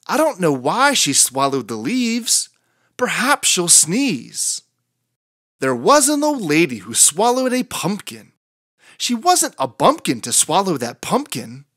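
A narrator reading aloud for young children with a deliberate, rhythmic sing-song delivery. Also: mid-range voice, a bit bright, no room sound, clean studio sound. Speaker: children's entertainer and story reader.

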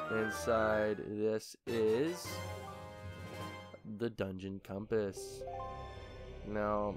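Let's-play host, man, a bit nasal and low-pitched, rambling commentary over steady game music. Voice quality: slightly nasal from allergies. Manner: rambling, thinking aloud with many ums. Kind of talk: casual gameplay commentary.

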